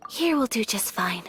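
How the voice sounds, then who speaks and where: A woman voicing a refined, gentle young noblewoman in an anime game, speaking with polite, composed formality. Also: mid-range voice, full character voice.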